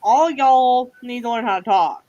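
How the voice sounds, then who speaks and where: A woman's voice: on the high side, moderate pace, dark tone, no echo. A woman with a Southern US accent chatting casually and teasingly as co-host of a livestream, in a folksy way. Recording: dull, lo-fi livestream audio.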